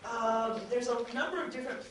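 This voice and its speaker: A woman speaks as a chatty, animated panelist, addressing an audience without a script. She sits away from the microphone in a heavily reverberant room, with a faint layer of noise around her voice. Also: a little high, brisk. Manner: chatty, animatedly mimics others in quoted speech. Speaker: podcast producer speaking as panelist. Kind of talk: unscripted panel talk to an audience. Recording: very echoey room, distant mic, faint noise.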